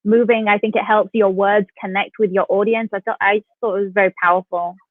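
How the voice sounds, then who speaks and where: A woman's voice: medium pitch, fairly even intonation, a little fast, dry recording. A woman co-host praising a poet's performance and using Islamic phrases. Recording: tinny phone-like line.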